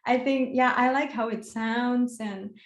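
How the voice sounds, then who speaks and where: A young woman from Colombia whose first language was Portuguese, speaking as an interview guest, soft-spoken with frequent fillers. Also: fairly high pitch, steady tone, a little slow, reverberant. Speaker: guest artist. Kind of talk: casual interview chat.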